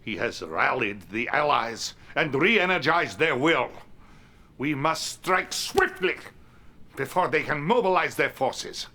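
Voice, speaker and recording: An actor playing a shrewd, self-assured Soviet premier with a Russian accent, speaking in an authoritative, deliberate, theatrical manner in a video game cutscene. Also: male voice, mid-range voice, animated, pushed and bright, full character voice. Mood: grim, resolute.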